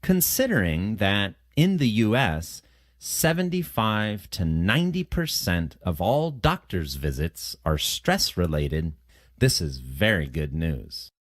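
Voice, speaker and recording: Slow, low-voiced man reading aloud. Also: very animated, dry recording, clean studio sound. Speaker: narrator of test practice passages. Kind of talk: scripted read-aloud narration.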